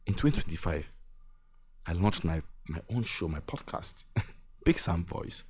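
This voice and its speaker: A man's voice: low-pitched, expressive, measured pace, darkish tone, husky. A young Nigerian man hosting his own podcast, giving an earnest motivational talk that repeats its points and breaks into small laughs. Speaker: podcast host. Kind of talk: motivational monologue.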